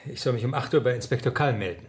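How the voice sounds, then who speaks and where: Ernster junger Polizist, belegte Stimme. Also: male voice, mid-range voice, expressive, fast, slightly rough. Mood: polite, earnest. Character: young male London police constable, earnest newcomer. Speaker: actor, narrating protagonist. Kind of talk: 1970s radio play narration.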